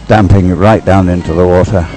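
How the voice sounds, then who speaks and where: A man's voice: low-pitched, fairly even intonation, normal speed, dark tone. A man giving unscripted live race commentary in a rambling, hesitant way, often thinking aloud. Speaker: sports commentator.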